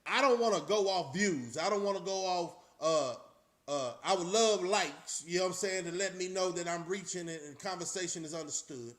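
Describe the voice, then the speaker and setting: A man's voice: high-pitched, normal speed, a bit bright. A man hosting a casual live-stream chat, talking loosely and rambling with frequent restarts. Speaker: channel host.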